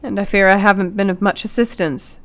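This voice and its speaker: An actress playing a brave, helpful Victorian wife in a radio drama, earnest, polite and formal in manner. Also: female voice, medium pitch, fairly even intonation, a little fast, close to an everyday voice. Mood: anxious, earnest.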